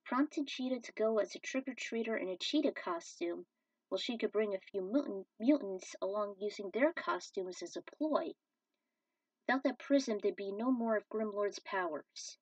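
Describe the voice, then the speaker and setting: A woman's voice: mid-range voice, animated, moderate pace, darkish tone, husky, dry recording. A woman narrating and commenting on her own fan fiction as an amateur storyteller. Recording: dull, slightly distant microphone.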